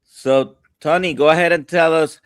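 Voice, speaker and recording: A man's voice: fairly high pitch, fairly even intonation, moderate pace, clean tone, no echo. A man co-hosting a casual livestream as a doctor, greeting viewers and prompting the guest. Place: remote online livestream from Central Florida.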